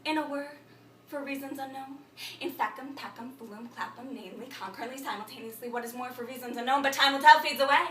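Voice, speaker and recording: A woman playing a frantic, babbling thinker in an absurdist stage monologue, incantatory and repetitive, swinging between muttering and shouting. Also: high voice, fast. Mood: rambling, urgent. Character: frantic, babbling thinker reciting a broken learned tirade. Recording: echoey room, distant microphone.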